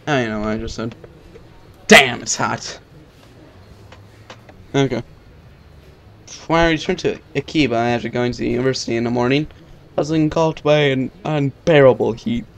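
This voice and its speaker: A man's voice: mid-range voice, a little hiss or hum. A man doing a let's play, reading game text aloud, stumbling over words and breaking in with casual reactions. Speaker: let's player. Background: video game music.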